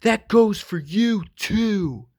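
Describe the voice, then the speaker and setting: A man's voice: high voice, lively intonation, extremely slow, a little mellow, voice pushed up, full character voice. A man voicing a big, tough storybook dog who barks bossy, indignant orders with emphatic delivery. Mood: barking, annoyed.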